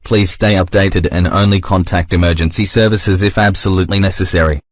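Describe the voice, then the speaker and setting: A man voicing a formal, stern emergency broadcast announcer, reading a scripted alert in a measured, impersonal manner. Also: sits low, steady tone, dry recording, clean studio sound, natural voice. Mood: formal, grave.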